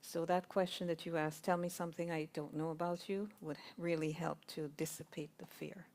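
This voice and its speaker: Woman, low-set voice, measured and thoughtful, sharing in a spiritual discussion group. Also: steady tone, a little mellow. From Guyana. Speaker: congregation member sharing. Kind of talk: reflective sharing in a discussion circle. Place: spiritual centre gathering.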